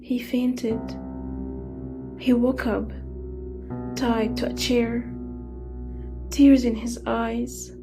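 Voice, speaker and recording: A woman narrating a scripted tale as whispered ASMR, slowly, in short hushed phrases with pauses. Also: a little high, little pitch movement, music in the background. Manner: slow, hushed, pausing after short phrases. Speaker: storyteller.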